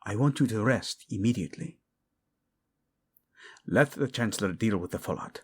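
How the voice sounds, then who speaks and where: A man's voice: lowish voice, lively intonation, moderate pace, a little mellow, slightly rough. A man acting a passionate, protective male royal in a romantic audio drama, devoted to his queen, intimate and commanding, using Spanish endearments. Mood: worried. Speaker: voice actor. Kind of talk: romantic audio roleplay drama addressed to the listener.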